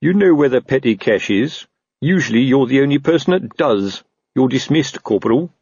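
A man's voice: medium pitch, animated, moderate pace, a little mellow, slightly rough, no echo, dull audio, pitched above the performer's own voice. Man playing a blustering, pompous old police captain. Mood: officious. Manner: snapping, blustering, officious.

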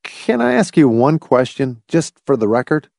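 A man's voice: medium pitch, sing-song, moderate pace, gravelly, a little mellow, no echo, clean studio sound, pitched above the performer's own voice. A man voicing an exasperated, cynical male research statistician in an audiobook, with an emphatic delivery. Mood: tentative. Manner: emphatic, swinging from outburst to weary mutter.

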